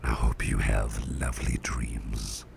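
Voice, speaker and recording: A man playing a caring, gentle boss in an ASMR roleplay, murmuring tender reassurance in breathy whispers with a gravelly rumble. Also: level tone, slow, exaggerated voice. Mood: affectionate. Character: caring, gentle male boss soothing a cursed employee.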